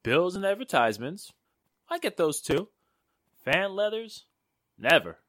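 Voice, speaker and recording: An actress playing a breezy, wisecracking friend with wry, slangy talk in 1940s radio drama style. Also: female voice, fairly low pitch, big pitch swings, unhurried, a bit bright, dry recording, studio quality. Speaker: actor.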